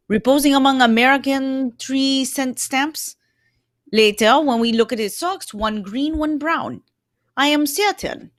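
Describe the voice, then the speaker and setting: A woman's voice: high-pitched, no echo, clean studio sound. A woman playing a shrewd, courteous male detective in an old-time radio mystery, explaining things theatrically. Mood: courteous, assured.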